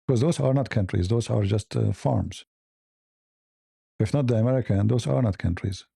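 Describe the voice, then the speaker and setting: A man's voice: on the low side, moderate pace, creaky, mellow, slightly rough, no room sound, studio quality. A man hosting a live news commentary stream, blunt and opinionated, rambling with hesitant fillers and speaking English as a second language. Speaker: host.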